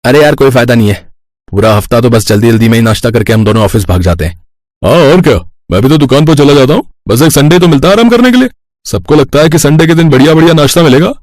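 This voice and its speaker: Man voicing a quick, bossy young brother, cartoon, dry close mic. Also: medium pitch, lively intonation, a little mellow, no echo, close to an everyday voice. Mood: grumbling.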